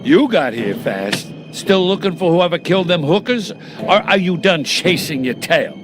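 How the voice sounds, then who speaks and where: A brash, sneering pawnbroker in a video game, with a high, very animated voice. He is cocky, sarcastic and spoiling for a fight over background music. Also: moderate pace. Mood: taunting. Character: cocky, sneering, foul-mouthed bald male pawnbroker, pugnacious. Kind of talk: heated game voice acting. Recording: music under the voice.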